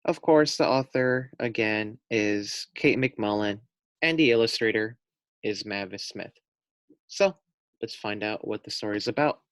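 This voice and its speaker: A young man, a teacher, reading a picture book aloud to first graders, slow and gentle with asides to the children. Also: lowish voice, dry recording.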